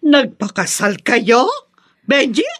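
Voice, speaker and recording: A woman's voice: fairly high pitch, animated, slow pace, fairly bright tone, dry recording, clean studio sound, exaggerated voice. A woman acting in a Filipino radio drama as a possessive middle-aged mother, reproachful and melodramatic. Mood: indignant. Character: possessive, melodramatic, disapproving mother of grown sons.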